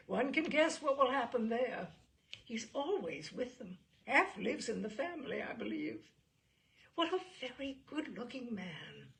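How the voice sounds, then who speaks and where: Woman playing gossipy society lady, lifted pitch. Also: gravelly, a little hiss or hum, full character voice. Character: gossipy, eager society ladies of Regency England.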